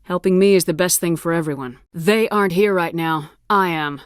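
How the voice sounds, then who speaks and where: A woman's voice: on the low side, normal speed, clear, resonant tone, no echo, studio quality, lightly acted. A woman playing a tough, decisive space soldier in a video game, speaking with firm, clipped authority. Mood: firm, questioning.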